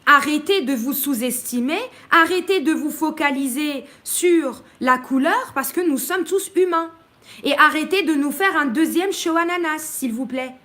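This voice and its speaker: A high-pitched woman, from Morocco, holds forth in a live-stream monologue. She speaks her mind without softening it, pausing on fillers between points and breaking off to greet viewers. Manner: outspoken and blunt, with many hesitations.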